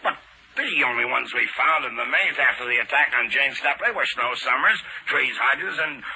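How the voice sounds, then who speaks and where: A blunt, colloquial American police sergeant from a 1940s radio mystery. He sounds forceful and animated, through the light noise of an old broadcast. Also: mid-range voice, normal speed. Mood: insistent. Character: plain-spoken, blunt male police sergeant. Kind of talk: 1940s radio drama acting. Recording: noisy 1940s radio recording.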